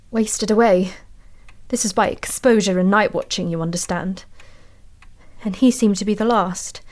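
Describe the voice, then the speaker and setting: An actress playing an anxious, haunted woman antiquarian in an audio drama, telling her tale in a measured, confiding way. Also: medium pitch, lightly acted. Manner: measured, confiding, uneasy storytelling.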